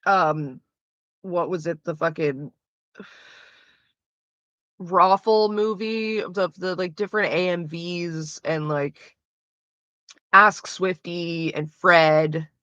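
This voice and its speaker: A woman's voice: fairly low pitch, very slow, pauses often, sharp tone, no echo, dull audio. A woman with a Canadian accent chatting casually with her livestream viewers, rambling and swearing freely. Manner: rambling and profane, loose with frequent fillers.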